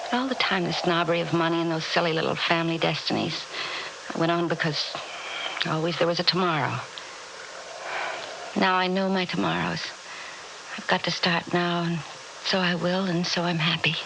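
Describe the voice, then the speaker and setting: An actress in a 1960s radio drama playing a fervent woman who has found joy in knowing her days are numbered, speaking in a halting, breathy, impassioned way. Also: on the low side, steady tone. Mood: elated, urgent. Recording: noisy old radio drama recording.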